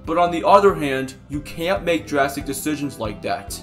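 A man's voice: on the high side, lively intonation, normal speed, faint music behind, voice pushed down. A man voicing an injured, long-suffering animatronic bear in an amateur cartoon skit, whiny and dramatic. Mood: resigned. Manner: whiny, dramatic, prone to yelling.

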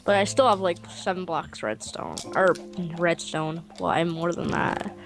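A woman's voice: on the low side, moderate pace, gravelly. A young woman chatting casually while gaming, rambling and joking in a crude way. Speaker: gamer in a multiplayer session.

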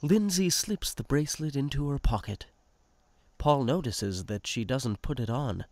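A man's voice: medium pitch, animated, unhurried, darkish tone, dry recording. A man narrating a radio play in the present tense with a conversational storytelling manner.

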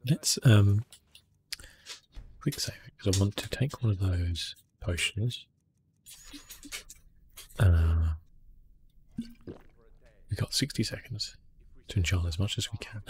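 A man giving soft, hushed ASMR gameplay commentary in a relaxed, unhurried manner. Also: deep voice. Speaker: gaming streamer, host.

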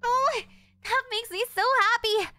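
A high-pitched yandere delinquent girlfriend, acted by a woman for an ASMR audio roleplay. She is clingy and doting, pleading sweetly with a possessive, threatening edge. Music plays behind her voice. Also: measured pace, sharp tone, heavily acted. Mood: delighted. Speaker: voice actress.

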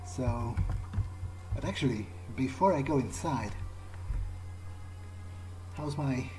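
Man playing an irreverent vampire gamer, slow-paced, noisy backdrop. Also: medium pitch, lively intonation. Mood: casual musing. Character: male vampire adventurer, irreverent, talking to himself. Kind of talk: in-character gameplay commentary, thinking aloud. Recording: clearly noisy game sound behind voice. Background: game ambience.

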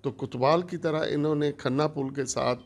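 Middle-aged man, gravelly, steady formal delivery on a handheld mic. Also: on the high side, normal speed. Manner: steady, listing items one by one. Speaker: company operations manager. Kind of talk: formal introductory speech. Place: conference room.

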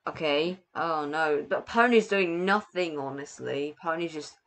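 A man's voice: fairly high pitch, measured pace, a bit bright. A young man giving casual, rambling game commentary in a hesitant, self-deprecating way, sometimes reading captions aloud. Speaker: gaming video host.

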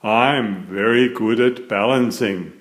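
A man's voice: lowish voice, slow pace. A man voicing a big, easygoing, boastful daddy pig with a hearty storybook manner. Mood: hearty, confident.

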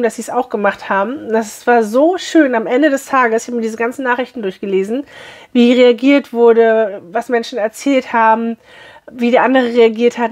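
Hoarse, chatty woman vlogger. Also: fairly high pitch, darkish tone. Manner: chatty, cheerful, talks straight to viewers. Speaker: beauty box unboxing vlogger. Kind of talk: chatty unboxing vlog. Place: small room indoors.

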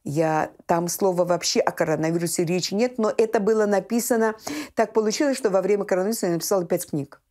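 An older woman from Azerbaijan speaks as a guest in a television interview. She tells her stories in a conversational, emphatic way, repeating key phrases for effect. Her voice sits at a medium pitch. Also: quick pace, mellow. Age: 60s. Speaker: children's writer and teacher, interview guest. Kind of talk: animated conversational storytelling in a TV interview. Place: small indoor room set with shelves, books and textiles.

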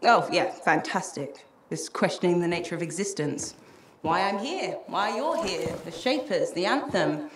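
A woman's voice: fairly low pitch, expressive, moderate pace, slight background noise, natural voice. A woman playing a troubled, earnest young mystic friend in a video game cutscene, wry and thoughtful. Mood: pensive. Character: troubled, earnest young female cypher, self-blaming friend. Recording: music behind the voice.

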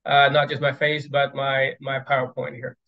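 A man's voice: mid-range voice, little pitch movement, normal speed. Hesitant man on a lo-fi video call. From Sweden. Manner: hesitant, many fillers and repeated words. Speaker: plenary speaker. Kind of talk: academic keynote lecture over video call. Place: indoors at home, stairs behind. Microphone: video call webcam. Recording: dull lo-fi video call audio.

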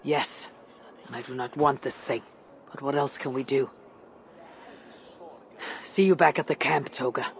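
A grave Viking leader. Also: female voice, a bass voice, fairly even intonation, vocal fry, big-room sound, full character voice. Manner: measured, grave. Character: stern Viking woman leader, honor-bound.

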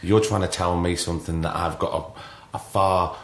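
A man's voice: low-pitched, fairly even intonation, normal speed, a bit bright, echoey room. A man hosting a podcast who asks questions in an easy, encouraging way. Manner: chatty, encouraging interviewer. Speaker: podcast host. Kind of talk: casual interview. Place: office.

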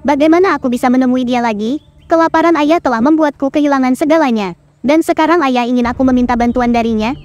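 An actress playing a gentle young princess in a dubbed cartoon, speaking in a pleading, sorrowful way. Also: female voice, high-pitched, quick pace, lo-fi sound, close to an everyday voice.

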